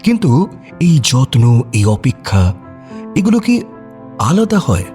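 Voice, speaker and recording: A man narrating a scripted romantic audio story in a reflective, conversational and expressive way. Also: mid-range voice, slow, faint music behind.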